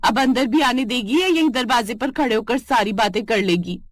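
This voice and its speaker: A woman's voice: fairly high pitch, normal speed, no room sound, clean studio sound, lightly acted. Woman doing a pushy, scheming aunt. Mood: bossy. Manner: bossy and teasing. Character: pushy, scheming middle-aged aunt-in-law guest.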